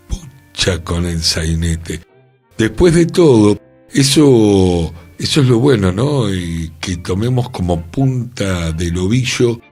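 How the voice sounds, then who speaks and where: A man talks slowly, low and a little husky, on a studio radio show. He muses out loud, circling back and restarting his phrases as he works through an idea. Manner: musing, thinking aloud with restarts. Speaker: radio host. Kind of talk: reflective radio discussion.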